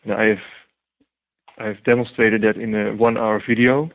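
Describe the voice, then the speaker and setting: A man's voice: on the low side, flat delivery, normal speed. A man from the Netherlands with a Dutch accent, answering interview questions hesitantly with many ums and restarts. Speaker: guest translator. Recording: tinny phone-like line.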